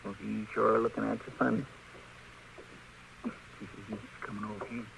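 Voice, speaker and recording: Creaky-voiced, drawling frontier sidekick. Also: male voice, fairly low pitch, little pitch movement, a little slow. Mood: earnest, wary. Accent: American rural Western drawl. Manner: drawling, homespun, plaintive. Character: earnest, folksy, worrying frontier sidekick, a man. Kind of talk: 1950s radio western acting. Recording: noisy old radio recording.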